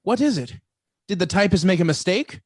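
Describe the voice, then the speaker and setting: Very high-voiced polite ship's steward played by a man. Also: expressive, fairly quick, darkish tone, breathy edge, no room sound, studio quality. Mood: courteous, solicitous. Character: polite, attentive ocean liner steward. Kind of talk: radio play acting.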